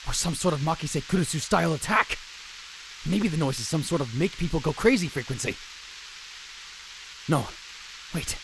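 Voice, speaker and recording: A man's voice: a little high, noisy background. A man playing a dramatic, paranoid young self-styled mad scientist in a visual novel fan dub, theatrical, brooding and self-important. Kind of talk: visual novel fan dub, first-person narration and dialogue.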